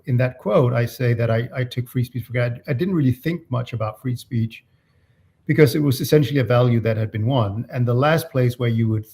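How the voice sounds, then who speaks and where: A man giving thoughtful, halting, argumentative interview answers as an author. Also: medium pitch, a little mellow. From Denmark. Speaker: author and free speech advocate being interviewed.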